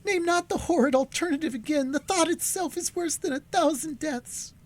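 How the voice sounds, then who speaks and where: A man putting on an indignant young officer from a storybook, pitched very high and strained. He protests with passionate outrage. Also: moderate pace. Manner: indignant, impassioned.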